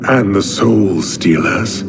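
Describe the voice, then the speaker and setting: An actor playing a sinister, calculating villain in a video game, in a measured and commanding manner. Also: male voice, on the low side, loud music behind the voice. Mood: calm menace. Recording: loud cinematic score behind.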